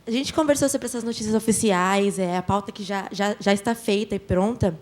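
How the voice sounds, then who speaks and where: A woman's voice: mid-range voice, brisk, a little mellow. A Brazilian woman putting questions to a panel, earnest and stumbling a little over her words.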